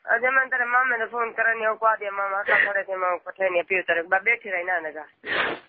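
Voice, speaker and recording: A woman's voice: medium pitch, fairly even intonation, a little fast. A woman talking casually as a caller in a phone conversation. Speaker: phone caller, older relative addressed as aunt. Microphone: telephone. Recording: tinny, band-limited phone line.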